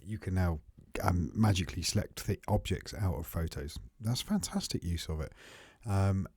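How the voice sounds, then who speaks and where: A man's voice: low voice, moderate pace, darkish tone, husky, no echo. A man co-hosting a casual tech podcast, thoughtful and a little hesitant, with frequent ums. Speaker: podcast co-host.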